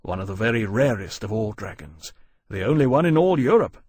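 A man voicing a proud, formal and courteous gentleman in a storybook narration. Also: lowish voice, moderate pace, husky, dry recording, studio quality.

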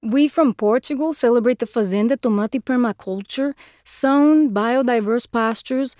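Woman, mellow tone, fairly high, calm careful reader. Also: normal speed, dry recording, clean studio sound. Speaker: representative. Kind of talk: scripted roll-call reading.

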